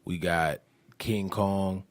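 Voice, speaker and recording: A man's voice: low-pitched, fairly even intonation, extremely slow. A man chatting casually as a podcast panelist, blunt and opinionated, with slangy phrasing. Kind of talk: casual chat.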